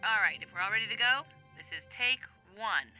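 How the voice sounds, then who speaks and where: Bright-voiced studio director. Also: female voice, a little high, expressive, a little fast, soft background music. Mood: businesslike. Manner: professional, tactful. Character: brisk, patient female recording-session director. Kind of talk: comedic game cutscene acting.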